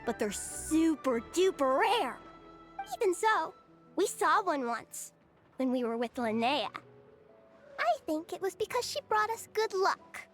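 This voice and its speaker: A woman's voice: very high-pitched, big pitch swings, measured pace, music in the background, heavily acted. A woman voicing a sweet, trusting, eager little child in a video game, speaking in simple childlike phrases. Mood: eager, dreamy. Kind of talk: video game character dialogue.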